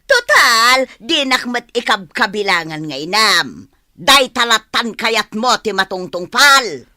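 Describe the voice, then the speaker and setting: A woman with an Ilocano accent acting a bitter, unforgiving middle-aged mother in a radio drama, sharp and reproachful. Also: a little high, lively intonation, slow, no room sound, clean studio sound, heavily acted. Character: bitter, unforgiving mother and nagging village wife.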